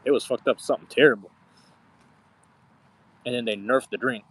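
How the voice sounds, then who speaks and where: A man's voice: medium pitch, moderate pace, breathy edge, low-quality recording. A man in his thirties chatting casually with his viewers in a slangy, profane, rambling way. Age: 30s. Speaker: streamer. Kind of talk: casual live-stream chat with viewers.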